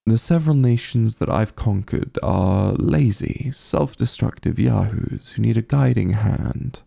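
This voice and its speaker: A man playing a vain, disdainful evil sorcerer king in an ASMR roleplay, with a gravelly, breathy voice and a pompous, sardonic drawl. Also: on the low side, a little slow, mellow, heavily acted. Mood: exasperated disdain.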